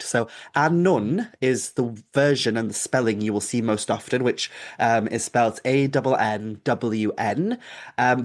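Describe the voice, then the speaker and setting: A man with a Welsh accent, a native Welsh speaker, patiently explaining things as a guest in a podcast interview, with frequent ums. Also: mid-range voice. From Wales. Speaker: guest author.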